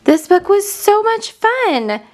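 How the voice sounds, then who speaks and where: A woman reading a children's storybook aloud in an excited, expressive manner and enunciating for young listeners. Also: very high voice, takes it slowly, faint music behind.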